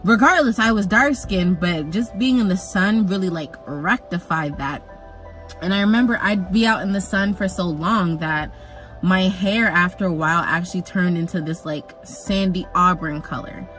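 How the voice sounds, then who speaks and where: A young woman who grew up in Jamaica, telling a personal story in a chatty, animated vlog with jokey asides. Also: on the low side, normal speed, faint music behind. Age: young adult. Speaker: vlogger.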